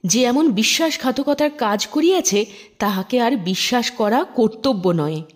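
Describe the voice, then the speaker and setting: A woman's voice: a little high, expressive, normal speed. A woman playing a stern, righteous male magistrate in a storybook, questioning curtly and commandingly. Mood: stern, annoyed.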